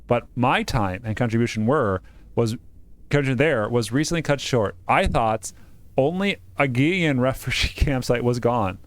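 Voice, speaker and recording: A man's voice: mid-range voice, expressive, normal speed, no echo. A man doing a casual let's-play, reading game narration aloud and joking about the text as he goes. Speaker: game streamer reading a visual novel aloud.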